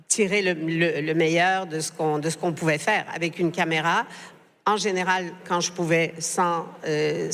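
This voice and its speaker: A woman journalist on a panel, speaking hesitantly with frequent fillers; French is not her first language. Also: fairly low pitch. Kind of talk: live panel discussion. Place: book fair stage. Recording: reverberant hall.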